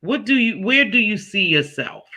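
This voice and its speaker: A man's voice: high voice, big pitch swings, moderate pace, sharp tone. A man hosting a casual interview, chatty, telling stories and asking questions. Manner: chatty, storytelling, asks questions. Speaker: interview host.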